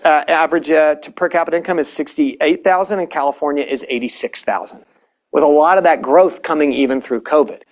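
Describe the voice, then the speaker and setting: A man speaking on a panel, laying out statistics in a steady argument with frequent small restarts. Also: a little high. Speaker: panelist presenting policy data. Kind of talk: panel talk.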